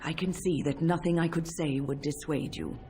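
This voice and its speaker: Casting: a stern, principled companion. Low, mellow voice, firm and clipped. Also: female voice, fairly even intonation, normal speed, faint music behind. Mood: disapproving. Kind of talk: video game cutscene dialogue.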